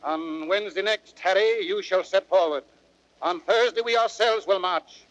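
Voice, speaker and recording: A man's voice: high voice, normal speed, fairly bright tone. An actor playing a stern, resolute older king, regal and declamatory in old radio Shakespeare style. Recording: muffled old recording, slight noise and clicks.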